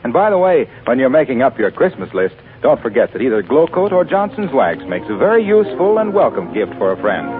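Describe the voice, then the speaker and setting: Lively male 1940s radio announcer. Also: mid-range voice, fairly quick, few pauses. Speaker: radio announcer, sponsor pitchman. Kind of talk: 1940s radio advertising read. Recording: tinny 1940 radio, faint music.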